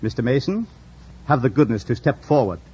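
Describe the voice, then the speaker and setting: An actor playing a formal, unflappable lawyer, speaking deliberately in old radio drama style. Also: male voice, medium pitch, big pitch swings, warm tone, a little hiss or hum, lightly acted. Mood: formal, insistent. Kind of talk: 1940s-50s radio drama acting. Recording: muffled old radio broadcast recording.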